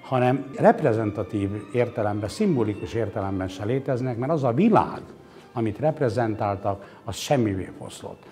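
A man's voice: on the low side, lively intonation, mellow. A man, explaining things reflectively in an interview, philosophizing with hesitations and emphatic points. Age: 60s. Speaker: exhibition curator interviewed. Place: indoor exhibition gallery. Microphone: lapel mic. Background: background music.

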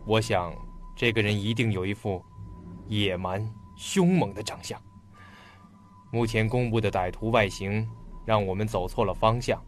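An actor playing an earnest, polite young police detective in a radio drama. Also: male voice, on the low side, normal speed, faint music behind, natural voice. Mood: grave, speculative.

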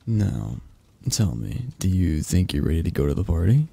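A man playing a possessive, condescending, flirtatious mafia boss in an intimate ASMR roleplay, drawling and halting in a patronizing, domineering way. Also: low voice, big pitch swings, gravelly, warm tone, slightly rough, no room sound, exaggerated voice. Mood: coaxing.

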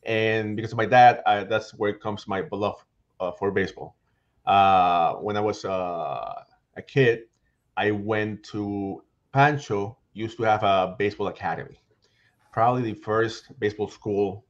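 A middle-aged man, a guest author talking on a video call in an animated way, with frequent fillers and restarts. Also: fairly low pitch, a little slow. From Puerto Rico. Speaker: guest author and baseball writer. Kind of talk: casual video call interview. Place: home room. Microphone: headset microphone on video call. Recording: slightly dull, lo-fi call audio.

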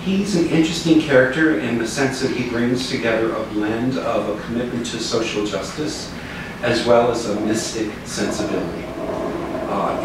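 An older man speaks as a minister giving an informal, halting reflection. His voice sits a little low. The room is very echoey, with clear background noise. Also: distant mic. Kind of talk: informal reflective talk to a small group. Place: living room with small seated group.

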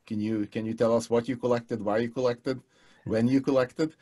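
A man, co-hosting a casual academic chat on a video call, halting with many restarts. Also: mid-range voice, fairly even intonation, mellow. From Hungary. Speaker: political scientist, co-host. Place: home studio room. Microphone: headset mic on video call.

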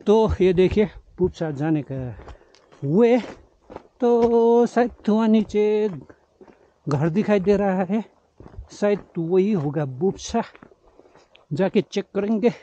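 A man in his forties narrates a travel vlog casually as he treks, with a high, mellow voice. He speaks slowly and drops English words into his talk. It is recorded outdoors on a handheld camera, with slightly dull sound. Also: lively intonation. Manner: mixes English words and phrases into speech. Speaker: travel vlogger. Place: outdoors on mountain roads. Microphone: camera held at arm's length.

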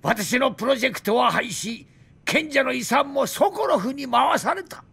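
Drunk old Soviet scientist, high forceful voice, boastful, bitter, grandiose, game cutscene delivery. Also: male voice, animated, normal speed, creaky, breathy edge, full character voice. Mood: indignant ranting. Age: older. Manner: theatrical, grandiose, indignant ranting. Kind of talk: dramatic video game cutscene acting.

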